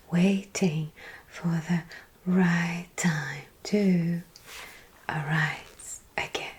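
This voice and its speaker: A woman tells spooky tales in a breathy, unvoiced whisper, drawn out at a very unhurried pace. Her voice sits a little low, and she lingers over each phrase like an ASMR storyteller in vampire roleplay. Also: darkish tone.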